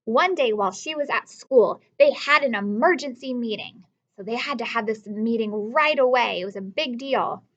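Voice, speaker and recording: A woman teacher reading a story aloud to children, expressively, pausing to instruct and question her young listeners directly. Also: fairly high pitch, fairly bright tone, muffled recording. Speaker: elementary school teacher.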